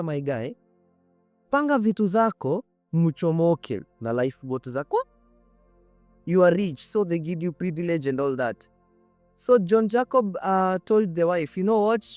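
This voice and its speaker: A man's voice: fairly high pitch, a little mellow, no room sound. A man with a Kenyan accent hosting a casual, dramatic storytelling show, speaking conversationally and emphatically and repeating phrases for effect. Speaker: storytelling host.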